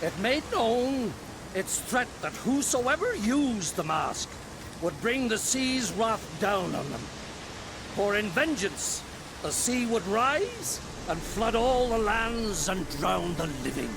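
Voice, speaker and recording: An actor playing an indignant, grandiose druid in a game, declaiming solemn lore pompously. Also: male voice, sits high, big pitch swings, takes it slowly, creaky, full character voice, rough recording. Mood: solemn storytelling. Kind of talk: video game cutscene acting. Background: music.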